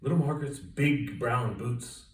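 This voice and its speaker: A man reading a children's story aloud with expressive, dramatic emphasis. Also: mid-range voice, unhurried. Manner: expressive, dramatic emphasis and sound-effect words. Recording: roomy echo, distant mic, faint noise.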